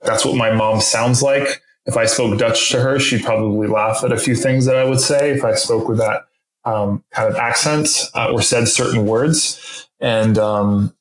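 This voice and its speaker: A man whose first language is French, talking as an interview guest in a halting way with many fillers and restarts. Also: medium pitch, steady tone. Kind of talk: casual interview conversation. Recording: roomy echo.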